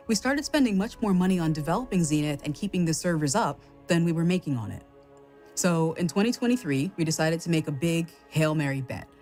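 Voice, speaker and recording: A woman with a distinctly low voice reads a formal, scripted company announcement. Her delivery is measured and orderly, with soft music in the background.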